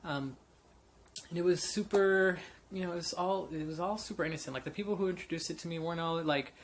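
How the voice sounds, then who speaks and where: A man's voice: fairly high pitch, fast, slight background noise. A man in his late twenties telling a personal story off the cuff, candid and rambling with many fillers and restarts. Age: 20s. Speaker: vlogger. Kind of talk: unscripted personal story to camera.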